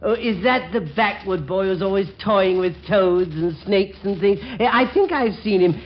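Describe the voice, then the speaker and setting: An actor playing a dry, urbane older gentleman swindler, in 1940s radio comedy style. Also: sits high, moderate pace, slight background noise, close to an everyday voice. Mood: droll. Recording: muffled 1940s radio recording.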